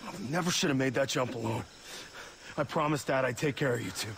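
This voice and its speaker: An actor playing a tough, protective older brother in a video game, speaking in an urgent, firm and steadying way. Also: male voice, mid-range voice, fast talker, gravelly, background noise, lightly acted. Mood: resolute, reassuring. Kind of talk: dramatic video game acting. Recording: loud music behind the voice. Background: loud game music.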